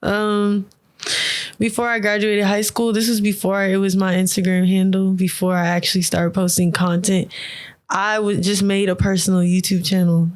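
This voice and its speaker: A young woman chats as a podcast guest, slangy and laid back. She laughs easily between stories, at a middle pitch. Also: little pitch movement, moderate pace, a little mellow. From United States. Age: young adult. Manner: laughing, loose storytelling with slang. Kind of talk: casual chat.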